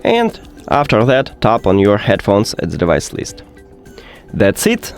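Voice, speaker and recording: Man with lively, swooping pitch. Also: measured pace, faint music behind. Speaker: tech tutorial host. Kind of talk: step-by-step instructional narration.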